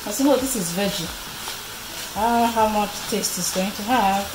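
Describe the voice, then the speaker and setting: A woman's voice: medium pitch, normal speed, noisy background. A woman thinking aloud in a casual cooking vlog as she works in her home kitchen. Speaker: home cook vlogger. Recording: loud music behind the voice.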